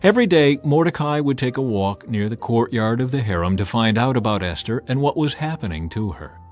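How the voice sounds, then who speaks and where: A man reading scripture as a dramatic, expressive scripted narration. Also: medium pitch. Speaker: Bible narrator. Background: music.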